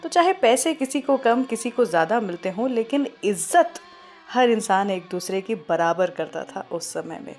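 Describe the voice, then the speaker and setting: A woman's voice: mid-range voice, animated, normal speed, a little mellow, soft background music. A woman with an Indian accent hosting a radio show, telling stories conversationally and addressing listeners with questions. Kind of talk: scripted radio presenting with film trivia and song introductions.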